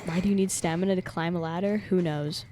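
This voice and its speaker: A woman's voice: fairly low pitch, fairly even intonation, quick pace, dark tone, a little hiss or hum. A woman livestreaming a game with casual, playfully sarcastic, sweary commentary, reacting and chatting to viewers. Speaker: live streamer. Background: game music.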